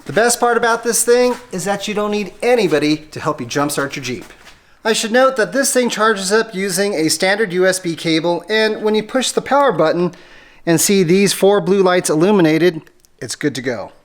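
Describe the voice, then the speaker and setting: An American how-to host with a mid-pitched voice, explaining a hands-on job step by step in a casual, conversational way. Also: animated, normal speed. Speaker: host of an automotive how-to channel. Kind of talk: casual how-to tutorial narration.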